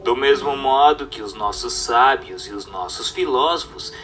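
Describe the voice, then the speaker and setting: A man's voice: mid-range voice, normal speed, fairly bright tone, recorded from a distance, faint music behind. A man narrating a scripted radio drama adaptation of a book, reading in an emphatic, declamatory way.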